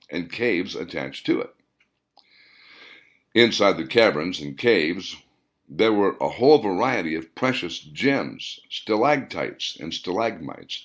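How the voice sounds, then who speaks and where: A man voicing an earnest male character who recounts family tales in unhurried audiobook dialogue. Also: deep voice. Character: earnest man telling his grandfather's cave story.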